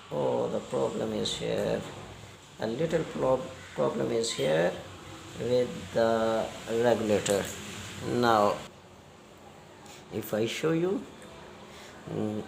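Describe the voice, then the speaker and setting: A man giving a hands-on repair demonstration in halting, non-native English, step by step. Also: lowish voice, gravelly, reverberant, background noise. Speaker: repair technician giving a tutorial.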